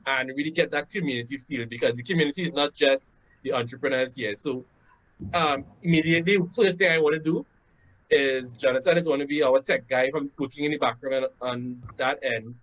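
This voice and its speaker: A man's voice: medium pitch, brisk, a bit bright. Trinidadian man hosting over a muffled video call. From Trinidad and Tobago. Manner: conversational, frequent "right?" tags and "um" fillers. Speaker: webinar host. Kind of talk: casual webinar introduction. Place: home room, indoors. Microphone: laptop webcam on a video call. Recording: dull lo-fi video call audio.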